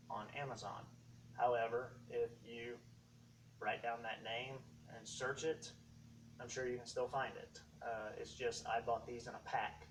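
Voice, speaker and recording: A man hosting a casual how-to video, talking through equipment step by step in short, halting phrases. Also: mid-range voice. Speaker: host of a how-to tech video series. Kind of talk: casual unscripted product walkthrough. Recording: distant mic, faint background noise.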